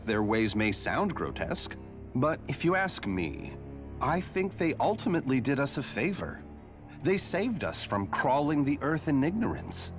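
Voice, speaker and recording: An actor playing a calm, matter-of-fact male scientist in a video game cut-scene. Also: mid-range voice. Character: calm male scientist explaining grim history. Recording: game audio with music behind.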